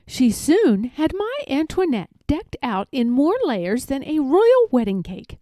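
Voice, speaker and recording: A woman reading a children's storybook aloud with expressive narration. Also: high voice, unhurried, no echo, studio quality.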